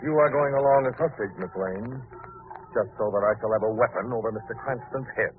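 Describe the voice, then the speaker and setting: An actor playing a suave, gloating murderer with mocking, elaborate courtesy in an old radio drama. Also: male voice, lowish voice, a little fast, natural voice. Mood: cold threat. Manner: mannered, theatrical, elaborately polite wording. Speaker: actor in a radio mystery serial. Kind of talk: old-time radio villain acting. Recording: tinny, noisy old radio recording.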